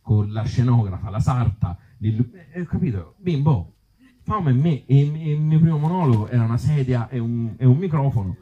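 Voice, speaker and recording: A man with a Tuscan accent talking informally at a live event as an actor and author, in halting, circling phrases with blunt joking asides. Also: medium pitch, lively intonation, lo-fi sound. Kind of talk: informal live talk with the audience. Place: outdoor evening event in an olive grove.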